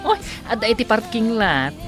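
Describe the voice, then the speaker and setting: A woman's voice: fairly high pitch, sing-song, normal speed. An actress playing a flirtatious, coy female bar customer who drawls her interjections in an Ilocano comedy radio drama. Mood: coy, tipsy. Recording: radio drama with music behind.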